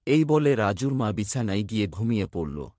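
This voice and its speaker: A man's voice: lowish voice, sing-song, normal speed, very noisy. A man giving scripted story narration for a cartoon.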